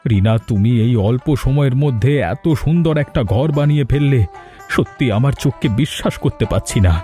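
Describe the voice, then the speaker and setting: A man's voice: mid-range voice, brisk, dark tone, soft background music, lightly acted. A man playing a helpless, lazy young newlywed in a cartoon. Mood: amazed. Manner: pleading, plaintive.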